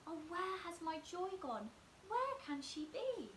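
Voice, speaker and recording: Sad boy magpie for a storybook, played by a woman: plaintive, high, very melodic, roomy sound. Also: sing-song, slow, a bit bright, full character voice. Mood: pleading, searching. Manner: plaintive, theatrical questioning. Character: sad, lonely male magpie searching for his joy. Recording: roomy echo, clear background hiss.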